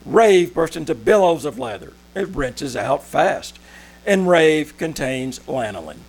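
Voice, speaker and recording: A man playing a persuasive pitchman in a 1940s radio commercial, with emphatic, measured sales patter. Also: very animated, creaky, a little mellow, husky, heavily acted. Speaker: commercial announcer.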